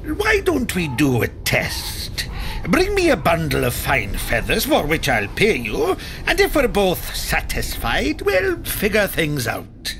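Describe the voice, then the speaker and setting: A shifty curio trader in a fantasy video game. His voice is high and swoops wildly, excitable and evasive, with slow, theatrical phrasing. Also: slight background noise, heavily acted. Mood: sly, businesslike.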